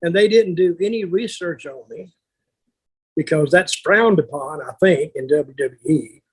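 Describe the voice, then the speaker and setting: Raspy older man, blunt storyteller. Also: fairly high pitch, measured pace. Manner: blunt, salty, acts out remembered exchanges. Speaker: veteran wrestler telling stories on a podcast. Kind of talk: casual anecdotal storytelling.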